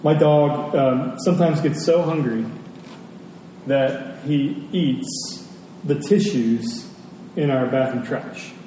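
A man's voice: medium pitch, slow, mellow. A man preaching a sermon to a congregation, speaking emphatically and repeating phrases for effect. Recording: very echoey hall, distant mic, faint noise.

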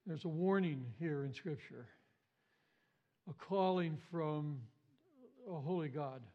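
A man's voice: on the high side, slow pace, lo-fi sound. A man preaching an Easter sermon as pastor, with a big voice, emphatic and repeating his phrases. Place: church sanctuary.

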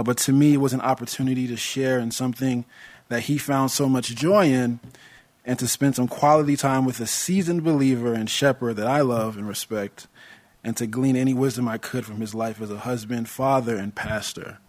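A young American man preaching a sermon in a measured, earnest way, with touches of light humor. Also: medium pitch, steady tone. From United States.

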